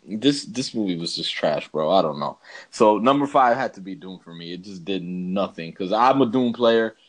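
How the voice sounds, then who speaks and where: A man's voice: low-pitched, lively intonation, normal speed. A man co-hosting a podcast in casual chat, talking in a slangy, emphatic, rambling way. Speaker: podcast co-host. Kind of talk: casual chat ranking bad video game movies.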